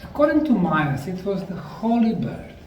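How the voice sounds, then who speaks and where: A man with a Bosnian accent giving a deliberate, emphatic lecture to a live audience. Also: high-pitched, very animated, a little mellow. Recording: echoey room, mic far off, faint noise.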